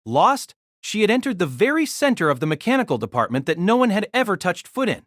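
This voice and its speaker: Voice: medium pitch, very animated, fast talker, no room sound, clean studio sound. A narrator reading scripted third-person story narration for an audio drama.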